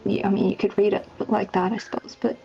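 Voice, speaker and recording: A woman's voice: mid-range voice, level tone, fast talker, creaky, mellow. A woman game developer chatting casually in a Q&A, hesitant as she thinks aloud, with dull lo-fi sound. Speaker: game developer, project lead and writer. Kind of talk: casual Q&A chat. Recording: dull lo-fi sound, faint music.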